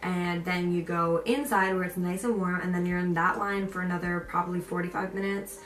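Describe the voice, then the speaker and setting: A woman's voice: lowish voice, fairly even intonation, brisk, a bit bright. A young woman vlogger telling a story and giving advice in a casual, chatty, emphatic way. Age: young adult. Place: indoor room with a picture on the wall. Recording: roomy echo, distant mic, faint noise.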